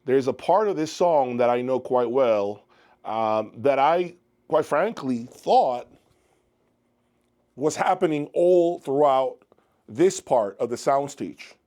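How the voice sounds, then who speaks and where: A man's voice: a little high, mellow. A man reviewing audio speakers in an unscripted spoken review. He thinks aloud as he listens and often asks viewers to share their views. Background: music playing on the speakers.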